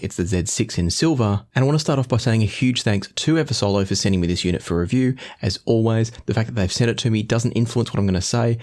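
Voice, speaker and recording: A man presents a product review in a slightly low voice. He moves along at a quick clip, laying out details in an orderly, explanatory way. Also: darkish tone. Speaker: audio gear reviewer, host.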